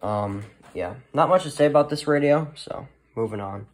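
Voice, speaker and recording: Man, hobbyist presenter, mid-pitched, off-the-cuff and halting, recorded in a room at home. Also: animated. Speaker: hobbyist presenter of a weather radio collection. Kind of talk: casual unscripted show-and-tell narration.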